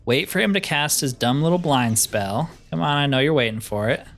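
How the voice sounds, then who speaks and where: A man's voice: medium pitch, lively intonation, fairly quick, a bit bright. A man giving casual let's play commentary, thinking aloud as he plays and grumbling wryly. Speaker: gaming video host.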